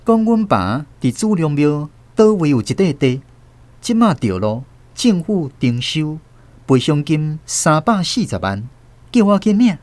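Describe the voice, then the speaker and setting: An actor playing an earnest nephew who narrates family memories in a radio drama, with a Taiwanese accent. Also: male voice, a little high, sing-song, measured pace, a little mellow, no room sound. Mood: puzzled. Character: earnest nephew recalling his family's sorrows.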